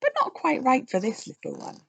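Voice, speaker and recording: A woman voicing a gentle, patient mummy pig in a children's storybook, speaking tactfully. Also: sits high, wildly swooping pitch, fairly quick, vocal fry, breathy edge, voice pushed up, heavily acted. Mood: kindly tactful. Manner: tactful, soft-worded, patient. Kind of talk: children's storybook read-aloud.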